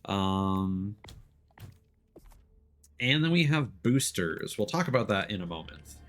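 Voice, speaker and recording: A man's voice: fairly low pitch, big pitch swings, takes it slowly, fairly bright tone. A man streaming a video game, giving casual, rambling, informal commentary as he explains how it works. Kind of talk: casual let's-play commentary.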